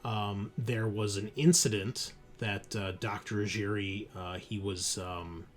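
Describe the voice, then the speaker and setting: A man narrating a tabletop roleplaying game as game master, in an informal, halting way. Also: fairly low pitch, fairly even intonation. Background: music.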